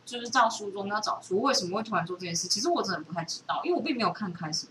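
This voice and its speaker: A woman's voice: medium pitch, expressive, very fast, fairly bright tone. A woman with a Taiwanese accent chatting casually as a podcast co-host. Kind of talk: casual chat. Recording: distant mic, clearly noisy background.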